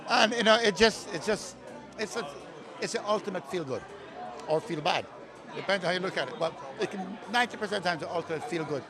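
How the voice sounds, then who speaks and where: A man's voice: high-pitched, fast talker. Enthusiastic man over crowd noise. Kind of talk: casual interview.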